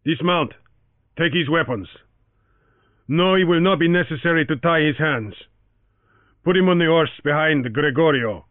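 A man voicing a suave, quietly menacing male official with a Spanish accent, heard through a tinny, dry narrowband recording. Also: fairly high pitch, normal speed, long pauses, no room sound, voice pushed up, lightly acted. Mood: commanding. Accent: Spanish-accented English. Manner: suave, courteous with iron intent.

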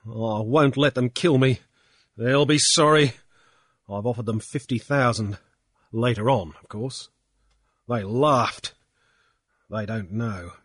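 A man voicing a self-pitying drunken young gentleman who blubbers and whines in a high, loud voice. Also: lively intonation, moderate pace, dry recording, clean studio sound. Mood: suspicious. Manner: blubbering, sobbing and whining.

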